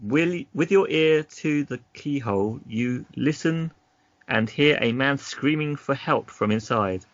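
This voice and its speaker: A man's voice: medium pitch, animated, unhurried, no echo, highs cut off. A man narrating an adventure gamebook as game master, casually, breaking off into chatty asides.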